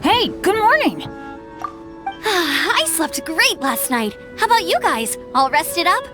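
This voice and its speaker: A woman voicing an upbeat, friendly and energetic young adventurer in a video game, speaking in exclamations. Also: very high-pitched, slow pace, exaggerated voice. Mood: cheerful. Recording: music under voice.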